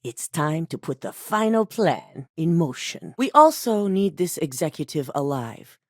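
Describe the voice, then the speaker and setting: A cold, calculating corporate executive woman from a video game. Her voice sits low, but she moves it around a lot as she hands down orders in a measured, unhurried way. The recording is dry and close. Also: sing-song, no echo, heavily acted. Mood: cool, authoritative. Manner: composed, measured and coolly authoritative. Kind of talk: game voice acting.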